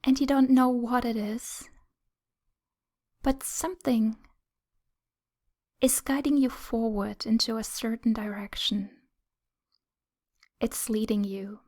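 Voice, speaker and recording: A woman leading a guided meditation in a soothing, gentle, breathy whisper, speaking in short phrases with long pauses. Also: fairly high pitch, level tone.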